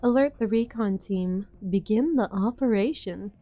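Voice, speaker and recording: A woman's voice: mid-range voice, a little slow, mellow, lightly acted. A woman playing a cool, calculating operation leader in an amateur game dub. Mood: cool, controlled. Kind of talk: English fan dub of a game story.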